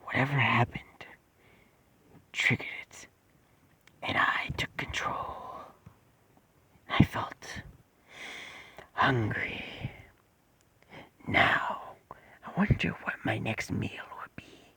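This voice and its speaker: A man voicing a sinister creature that lives in a boy's head, in a slow, menacing, breathy whisper with dark humor. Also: medium pitch, no room sound, full character voice. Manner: slow, menacing, drawn-out delivery. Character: sinister male creature voice inside a boy's head, darkly joking.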